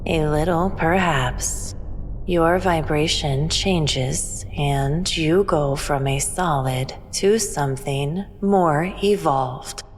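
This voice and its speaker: A woman playing a serene, all-knowing alien guest in an audio drama, speaking in a measured, detached manner. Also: low voice, slight background noise. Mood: serene, certain. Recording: long reverb, clear background music.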